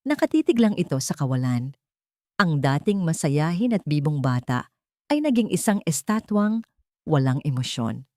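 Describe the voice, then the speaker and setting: A woman's voice: low voice, big pitch swings, normal speed, a little mellow, dry recording, clean studio sound. A woman giving scripted, dramatic narration of a story as its narrator.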